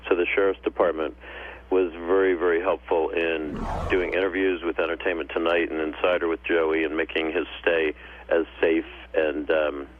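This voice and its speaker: A thin, humming phone line with faint noise carries a deep-voiced man. He speaks as a producer calling in, conversational and promotional. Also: flat delivery, moderate pace. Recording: muffled, hissy phone line with hum.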